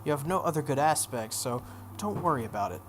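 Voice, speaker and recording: A playthrough host voicing a sharp-tongued, haughty teenage girl mage from a visual novel, brisk and scolding. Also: mid-range voice, animated, a little hiss or hum, close to an everyday voice. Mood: dismissive, annoyed.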